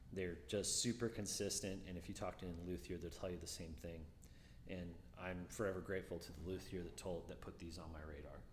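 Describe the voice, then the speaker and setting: Deep-voiced man, echoey room. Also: a little hiss or hum. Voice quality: deeper voice. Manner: relaxed, musing, repeats words. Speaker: guitar reviewer. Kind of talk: casual product review.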